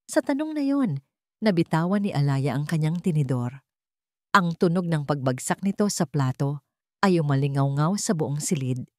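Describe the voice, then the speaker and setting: A woman narrates a scripted romance drama. Her voice sits a little low for a woman, and the recording is dry and close. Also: a little mellow, dry recording. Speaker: story narrator.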